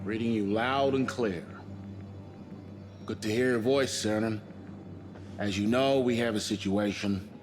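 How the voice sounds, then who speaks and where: Man playing a deep-voiced spaceship commander. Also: a little slow, long pauses, over music, close to an everyday voice. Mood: businesslike. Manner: authoritative, calm, clipped orders. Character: steady, reassuring male spaceship commander. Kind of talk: cinematic video game acting.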